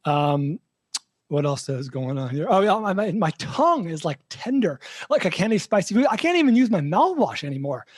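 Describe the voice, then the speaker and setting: A man with a hoarse, raspy voice, a show regular giving a halting, filler-heavy health update in casual podcast chat. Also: high voice, big pitch swings, dry recording, studio quality. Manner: halting, many fillers and restarts.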